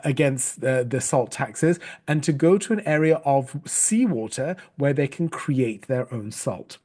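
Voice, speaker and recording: A middle-aged man from Sri Lanka, giving a recorded training talk as a storytelling coach, earnest and measured, with frequent fillers and restarts. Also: a little high, a little mellow. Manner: spells out words letter by letter.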